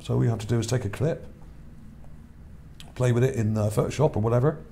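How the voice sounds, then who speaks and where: A man's voice: mid-range voice, fairly even intonation, fast talker, gravelly, dark tone, a little hiss or hum. A man whose voice is starting to wear out. He talks over images in a rambling way, repeats words and checks in with "okay?". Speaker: channel host. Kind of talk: casual narration over images, reading his article.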